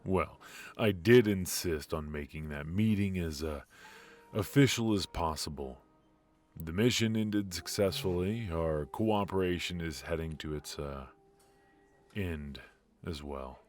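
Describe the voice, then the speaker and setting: A man playing a cocky but affectionate secret agent in an intimate noir audio roleplay, with halting, teasing delivery. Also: low-pitched, soft background music. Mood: weary, teasing. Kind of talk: intimate ASMR roleplay voice acting.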